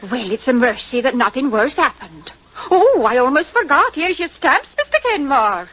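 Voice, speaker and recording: An actress playing a chatty, gossipy English village postmistress in a 1940s radio drama, chirpy and effusive. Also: female voice, high-pitched, big pitch swings, normal speed. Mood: nosy, chatty. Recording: muffled old radio recording.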